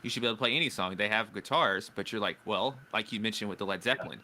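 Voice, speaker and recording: A man hosting an interview, talking in a relaxed and agreeable way. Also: on the low side, rapid-fire. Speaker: host. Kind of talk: casual interview conversation.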